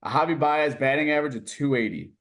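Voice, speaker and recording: A man's voice: mid-range voice, lively intonation, fast talker, a bit bright. A man hosting a sports interview, asking questions in a conversational style.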